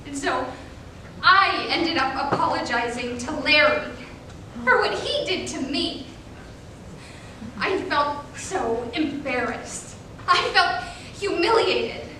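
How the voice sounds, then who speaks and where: A woman's voice: animated, takes it slowly, bright, forceful tone, exaggerated voice. A young woman playing a hurt teenage gymnast recounting abuse, with earnest, dramatic emphasis in a stage reading. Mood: ashamed, hurt. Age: young adult. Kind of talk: competitive reader's theater performance. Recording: echoey room, distant mic, rumbling noise.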